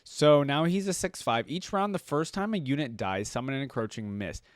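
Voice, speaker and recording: A man's voice: medium pitch, animated, moderate pace, a little hiss or hum. A man hosting a reaction video, rambling conversationally and musing aloud in casual commentary. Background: game music.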